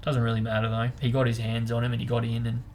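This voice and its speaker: A man's voice: mid-range voice, monotone, quick pace, a little mellow, a little hiss or hum. A man chatting casually as host of a book discussion, talking in an informal, meandering way as he explains and speculates.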